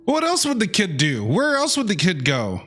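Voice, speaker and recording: A man's voice: high-pitched, wildly swooping pitch, moderate pace, fairly bright tone, soft background music. A man reacting live to a video game as a streamer, talking back to it in exasperated slang.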